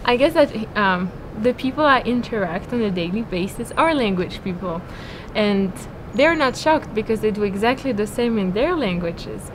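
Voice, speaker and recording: French lady chatting about her languages, thoughtful, stops to fix her words, noisy street rumble behind. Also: lively intonation, normal speed. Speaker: language student. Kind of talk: casual interview answer. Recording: noisy recording with traffic rumble.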